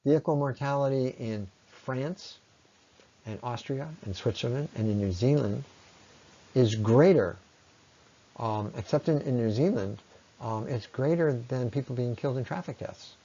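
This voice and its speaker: A man giving an explanatory slide presentation as a lecturer, often pausing mid-sentence as he explains. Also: mid-range voice, warm tone, muffled recording.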